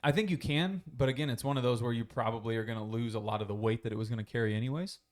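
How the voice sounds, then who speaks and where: A man's voice: mid-range voice, racing. A man co-hosting a casual podcast chat, telling a long story in a rambling way, swearing freely. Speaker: podcast co-host.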